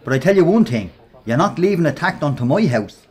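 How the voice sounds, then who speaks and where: A brash Dublin workplace bully in a radio play. His voice sits a little high and swings widely in pitch. He is slangy, cocky and sneering, always needling. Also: big pitch swings, brisk, darkish tone, breathy edge. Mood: indignant bluster. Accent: Irish, Dublin.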